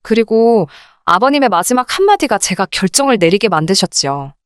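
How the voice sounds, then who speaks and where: A woman reading a scripted first-person story in an expressive, indignant radio drama style. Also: medium pitch, a little fast, no room sound, clean studio sound. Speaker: narrator of dramatized listener stories.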